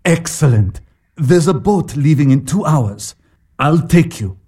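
An actor playing a grave, authoritative bishop who gives measured instructions in an audio drama, with music beneath. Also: male voice, a little high, lively intonation, noisy background.

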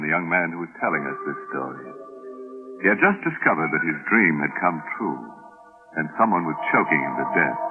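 An actor playing a gleefully macabre, mock-friendly storyteller who hosts an old-time radio horror show. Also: male voice, low-pitched. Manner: mock-friendly, darkly joking. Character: gleefully macabre male storyteller with ghoulish humor. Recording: tinny band-limited old radio recording.

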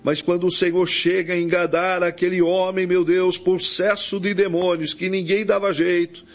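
Male Brazilian evangelical preacher, slightly high gravelly voice, pleading prayer full of invocations, dull recording. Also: little pitch movement, moderate pace. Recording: dull, lo-fi audio.

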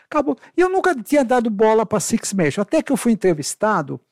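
A middle-aged man with a Brazilian accent and a high, gravelly voice tells anecdotes casually. He is blunt and emphatic, repeating words for weight, and is recorded close and dry. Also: big pitch swings, quick pace, a little mellow, dry recording. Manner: blunt, colloquial, emphatic with repetition and swearing. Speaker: podcast guest, veteran amateur marathon runner.

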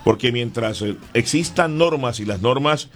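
Man, radio host, earnest, measured, faint background music. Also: medium pitch, lively intonation. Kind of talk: radio opinion commentary.

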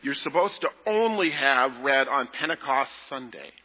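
A man leading a children's message in a church service, talking to the children in a gentle, playful way. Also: mid-range voice, animated, normal speed, husky. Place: large church sanctuary. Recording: echoey hall, dull lo-fi sound.

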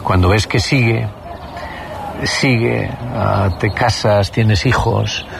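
A man, reminiscing in a halting, filler-heavy way outdoors on a city street. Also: lowish voice, fairly even intonation, gravelly. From Basque Country. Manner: halting, with many fillers and restarts. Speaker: interviewee. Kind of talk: reflective storytelling in conversation. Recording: outdoor street noise with traffic.

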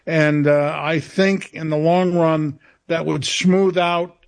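Slow gravelly guy being interviewed, kinda high for a man, keeps stopping to find words. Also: steady tone. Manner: halting, searching for words. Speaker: interview guest. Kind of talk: unscripted radio interview.

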